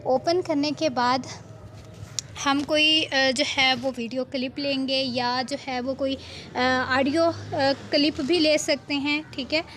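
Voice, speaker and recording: A woman giving an informal, step-by-step software tutorial as an editing tutor, often adding small check-in tags. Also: high voice, fairly even intonation, takes it slowly, a little hiss or hum.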